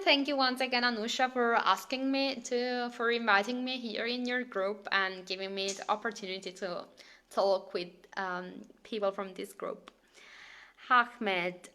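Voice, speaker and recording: A woman's voice: on the high side, pushed and bright. A woman with an Italian accent, a teacher chatting casually in a live stream, laughing often and reading out viewers' comments. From Italy.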